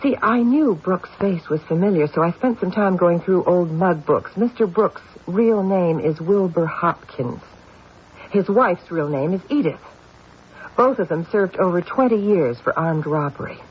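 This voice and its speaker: An actor playing a stubborn, intuitive police detective in an old-time radio mystery, insistent and deliberate. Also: male voice, sits high, animated, slightly rough, exaggerated voice. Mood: politely probing. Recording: dull, hissy old radio recording.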